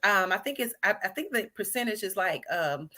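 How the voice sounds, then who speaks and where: A middle-aged woman hosts a livestream, talking in a lively, chatty way to her guest and viewers. Her voice is bright and forward, and her pitch sits in the middle. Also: a little fast. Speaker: host. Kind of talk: livestream interview chat.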